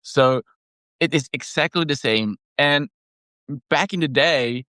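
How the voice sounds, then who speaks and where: A man whose first language is Indonesian, co-hosting a casual podcast chat and explaining things in a measured way with frequent pauses and fillers. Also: fairly high pitch, sharp tone, dry recording, clean studio sound. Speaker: health product maker and podcast co-host.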